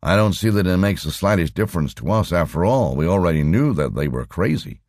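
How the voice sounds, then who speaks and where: A man voicing a gruff, sceptical male computer engineer in an audiobook, wry and grumbling. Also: low voice, animated, brisk, darkish tone, dry recording, clean studio sound. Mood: wry, pondering.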